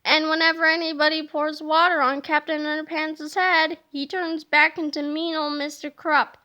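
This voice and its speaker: Bright, playful woman reading a children's book. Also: sits high, normal speed. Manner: animated, playful narration. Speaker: storybook reader. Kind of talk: children's book read-aloud.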